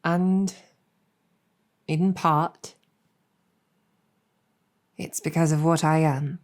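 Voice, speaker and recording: Slow, low-voiced demoness general, played by a woman. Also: fairly even intonation, darkish tone. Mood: sincere. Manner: deliberate, halting, earnest, with long pauses. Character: earnest, protective female succubus demon general. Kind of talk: intimate improvised roleplay storytelling, ASMR-adjacent.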